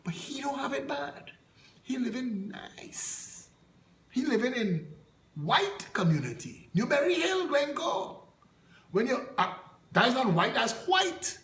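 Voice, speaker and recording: Man, Trinidadian accent, high voice, fiery political speech, scornful, rhetorical questions, echoey room. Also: very animated, slow, fairly bright tone. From Trinidad and Tobago. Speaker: political party leader. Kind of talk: impassioned political address.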